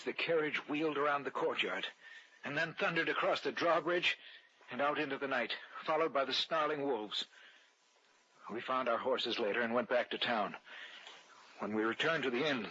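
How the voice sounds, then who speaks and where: A man's voice: mid-range voice, normal speed, pushed and bright, natural voice. An actor playing a confident traveler who narrates his own suspense tale in 1940s radio drama style. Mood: grave, suspenseful. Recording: noisy, muffled vintage radio recording.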